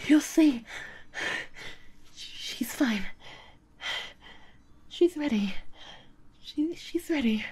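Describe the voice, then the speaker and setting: A voice actress playing an obsessive, desperate, unravelling woman in a dramatic ASMR audio roleplay, muttering haltingly to herself. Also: very high-pitched, darkish tone, heavily acted. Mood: tearful, panicked, then feverishly eager. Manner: halting, muttering to herself, swinging between whispers and outbursts.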